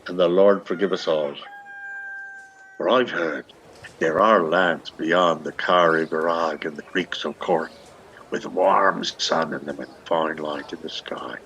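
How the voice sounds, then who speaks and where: A man's voice: low voice, unhurried, a bit bright, highs cut off, slight background noise. An actor playing a lovestruck, shabby old Irish beggar man in a radio play, speaking in long, poetic, pleading runs. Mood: wistful, wooing. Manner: poetic, long rolling pleas. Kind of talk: lyrical stage radio-play acting.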